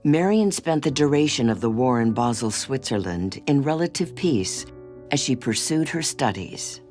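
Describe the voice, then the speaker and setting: A documentary narrator reading a scripted story in a measured, expressive delivery, with music playing behind. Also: medium pitch.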